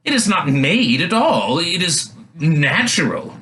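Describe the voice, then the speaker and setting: A man's voice: on the high side, sing-song, takes it slowly, a bit bright, a little hiss or hum, pitched above the performer's own voice, exaggerated voice. A man voicing a haughty, spoiled young princess in a fairy tale, imperious and affected. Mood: petulant.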